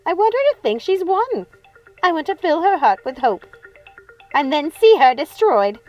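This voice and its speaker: A woman's voice: high voice, very animated, normal speed, darkish tone, lo-fi sound, soft background music, voice pushed up. A woman voicing a scheming, cruel adult witch sister who bosses her sisters around and gloats. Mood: gloating. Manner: bossy, gloating, commanding.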